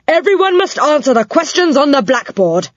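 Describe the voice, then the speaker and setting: A woman's voice: high-pitched, sing-song, moderate pace, no room sound, lo-fi sound, voice pushed up. A woman voicing a stern, bossy old schoolmistress who snaps and scolds in a storybook reading. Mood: commanding. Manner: snapping, scolding, commanding.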